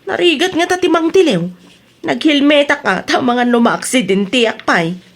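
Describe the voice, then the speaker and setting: Woman acting as eager high-voiced village councilor, Ilocano accent. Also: normal speed. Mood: reassuring. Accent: Ilocano Filipino. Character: eager village councilor chasing extra income. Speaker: actor in an Ilocano comedy drama. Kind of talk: comedy radio drama acting.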